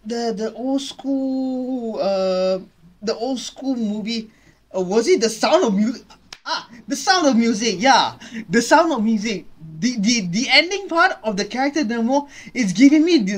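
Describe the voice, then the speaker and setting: A man in his twenties giving casual, halting reaction commentary, often repeating his phrases, recorded in a small bedroom. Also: very high-pitched. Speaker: reaction video host. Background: game trailer music.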